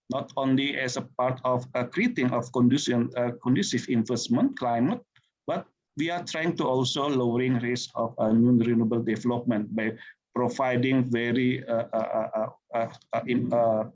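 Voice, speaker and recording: A man's voice: mid-range voice, a little mellow. A man with an Indonesian accent giving a policy presentation in a webinar, hesitant with heavy fillers. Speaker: government official presenter. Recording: slightly muffled video call audio.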